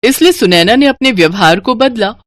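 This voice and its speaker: A woman's voice: lively intonation, brisk, no echo. A woman narrating a family cartoon story in a dramatic, scripted storytelling manner. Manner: dramatic storytelling, rising to shrill anger in quarrels. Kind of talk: scripted cartoon narration voicing all female roles.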